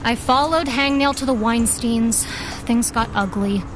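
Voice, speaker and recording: An actress playing a defiant teenage vigilante in an audio drama, sarcastic, punchy and impatient. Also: female voice, a little high, unhurried, noisy background, close to an everyday voice.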